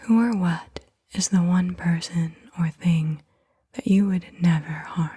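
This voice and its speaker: A woman whispering softly and gently in an unhurried ASMR style, with a breathy voice, reading out questions. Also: lowish voice. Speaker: ASMR host. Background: computer keyboard typing.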